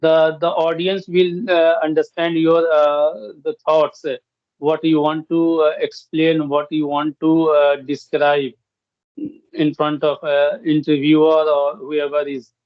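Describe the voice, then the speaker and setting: Halting Hindi-speaking man, dull dry sound. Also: on the high side, level tone, no echo. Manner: halting, many fillers and restarts. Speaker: guest English learner. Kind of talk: conversational practice chat.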